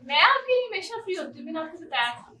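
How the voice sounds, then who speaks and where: Very high-pitched anxious young wife, acted by a woman. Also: big pitch swings, fast talker, bright, forceful tone, slight background noise, heavily acted. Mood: concerned. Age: young adult. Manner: urgent, pleading. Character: anxious, pleading young wife and mother hiding a secret. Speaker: student stage actor. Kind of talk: amateur stage drama acting. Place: university seminar hall stage. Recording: echoey hall, distant microphone.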